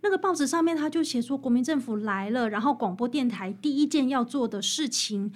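A woman guest with a fairly high voice and a Taiwanese Mandarin accent talks in an interview. She explains things conversationally and with animation, building her account through vivid examples. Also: expressive, brisk. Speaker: interview guest, author and researcher of old songs.

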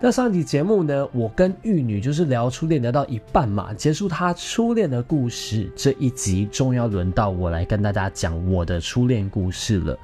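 A man's voice: medium pitch, animated, moderate pace, few pauses, dark tone, soft background music. A man in his thirties with a Taiwanese Mandarin accent, hosting a podcast in a theatrical, gossipy, chatty style with bits of English. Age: 30s. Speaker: podcast host. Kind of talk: casual chatty monologue to listeners.

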